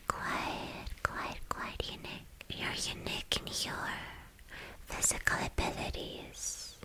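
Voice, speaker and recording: A woman playing a playful, boastful young female villain in an ASMR roleplay, whispering breathily and teasingly with halting, repeated phrases. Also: bright, forceful tone, rough recording.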